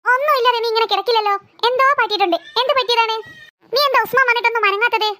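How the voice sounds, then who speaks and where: A woman's voice: very high voice, racing, bright, forceful tone. A woman voicing a fussy, concerned mother in a children's cartoon, coaxing and nagging.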